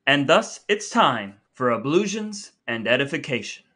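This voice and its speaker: Bright-voiced man hosting. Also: mid-range voice, big pitch swings, moderate pace, slight background noise. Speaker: podcast host. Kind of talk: casual comic banter, partly dramatic reading of texts.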